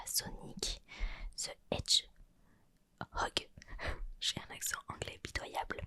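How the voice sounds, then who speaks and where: A young woman whispering through an ASMR roleplay, reading a retro gaming magazine aloud and adding chatty asides and self-corrections. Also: bright, forceful tone. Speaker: ASMR creator.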